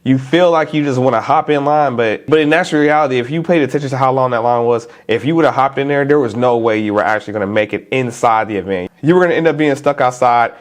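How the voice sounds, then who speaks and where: Man, vlogger, stuffy nose, casual chat to camera, informal, middle pitch. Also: fast, hardly any pauses. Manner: relaxed, chatty, informal slang.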